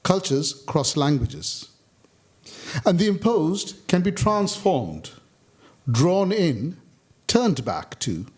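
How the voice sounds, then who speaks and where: A man from India reads his own essay in a measured, rhythmic delivery, with a fairly high voice that rises and falls expressively. He pauses deliberately between short, pointed phrases. This is a writer performing a reading at a public talk. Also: sing-song, slightly rough. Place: stage of a public talk venue.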